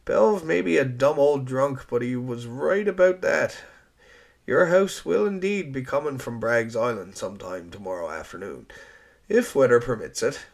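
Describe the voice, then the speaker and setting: A man's voice: medium pitch, animated, normal speed, creaky, voice pushed up. A man playing a self-assured, courteous storekeeper with an easygoing, folksy way of talking. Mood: talkative.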